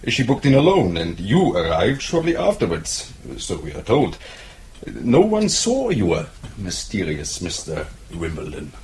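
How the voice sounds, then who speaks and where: An actor playing a suave, sceptical police captain in a radio drama, questioning in a halting, insinuating way. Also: male voice, low voice, huge pitch swings, a little mellow, slight background noise, full character voice. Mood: sly, sceptical. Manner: halting, insinuating, chuckling interrogator.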